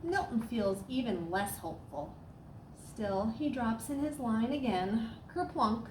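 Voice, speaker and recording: A woman reading a children's storybook aloud as a storyteller, with expressive, dramatic emphasis. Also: fairly high pitch, unhurried. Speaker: staff storyteller. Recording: roomy echo, clear background noise.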